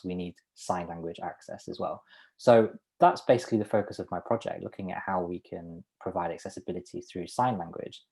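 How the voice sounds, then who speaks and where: A man working as a sign language interpreter, voicing a student's presentation in the first person over a video call, halting at times with restarts and small laughs. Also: deep voice, gravelly, a little mellow.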